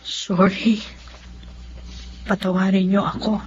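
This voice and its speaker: An actress playing an earnest young Filipino daughter and single mother in a radio drama, with a heartfelt, deliberate delivery. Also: medium pitch, level tone, slight background noise, natural voice. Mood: remorseful. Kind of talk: radio drama acting and first-person narration. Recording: dull lo-fi audio with clear music underneath.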